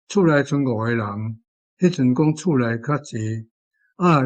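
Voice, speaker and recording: A man with a Taiwanese accent explains wording as a language teacher. His pitch is average, and he talks informally, circling back over key phrases.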